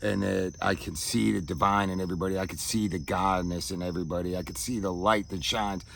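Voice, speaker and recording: Low-voiced man, halting and reflective. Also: steady tone, gravelly, fairly bright tone. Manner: halting, searching for words, often checking with "right?" and "man". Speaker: vlogger. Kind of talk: reflective personal monologue to camera. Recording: outdoor ambience with insects chirping.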